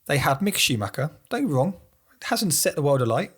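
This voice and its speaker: A man with a croaky voice from a cold, co-hosting a podcast in casual conversation. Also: a little high, very animated, fairly quick, a bit bright. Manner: conversational, asks questions. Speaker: podcast co-host. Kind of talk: casual chat about racing.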